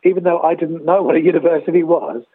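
A man's voice: fairly high pitch, steady tone, husky, no room sound. Man in his sixties on a tinny phone line. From Australia. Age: 60s. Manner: measured, storytelling, frequent uh pauses. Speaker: interview guest. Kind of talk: reflective interview answers.

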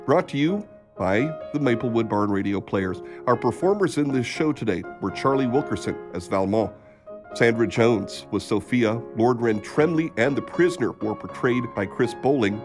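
Low-voiced male radio announcer over music. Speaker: narrator and announcer. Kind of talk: scripted radio theatre narration.

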